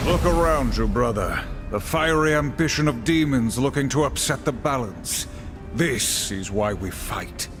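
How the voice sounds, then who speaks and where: A stern warrior horseman of the apocalypse played by a man in a video game. He is solemn and humourless, giving formal commands at a middling pitch, with prominent music underneath. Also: lively intonation, a little slow, close to an everyday voice. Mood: resolute. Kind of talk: video game cutscene acting.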